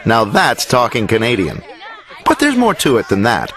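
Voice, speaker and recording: A man reading scripted documentary narration in a wry, measured way. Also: medium pitch, wildly swooping pitch, fairly bright tone. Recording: music bed behind voice.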